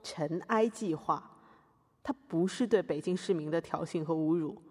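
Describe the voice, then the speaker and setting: A woman acts out a self-regarding art-world defendant in a satirical podcast trial. Her voice is fairly low and husky, pompous and lofty, with hall reverb. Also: normal speed, a little mellow. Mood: lofty, wounded. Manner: pompous, hesitant fillers, lofty jargon. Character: pretentious self-regarding conceptual artist, a defendant. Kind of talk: satirical podcast courtroom drama.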